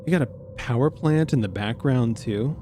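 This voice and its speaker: A man's voice: medium pitch, moderate pace, noisy background. A man hosting a reaction video, reading text aloud and adding informal, incredulous asides. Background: music.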